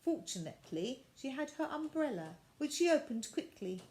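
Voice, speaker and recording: A woman reading a children's story aloud as an expressive storyteller. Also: high voice, moderate pace, rough recording.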